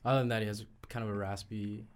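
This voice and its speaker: A young man co-hosting a student radio show, relaxed and agreeable in conversation. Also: on the low side, fast. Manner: relaxed and agreeable, short replies. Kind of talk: casual radio chat.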